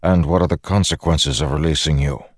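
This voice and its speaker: A man's voice: a bass voice, fairly even intonation, fairly quick, no room sound, clean studio sound. A man voicing a stern, guarded war leader in a fantasy audiobook, speaking in a firm, blunt, stubborn way. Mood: defiant.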